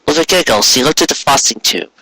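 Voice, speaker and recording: Animated, bright-voiced man reading a story. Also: on the high side, fairly quick, dry recording, low-quality recording. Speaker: story reader. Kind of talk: expressive narration of a written story.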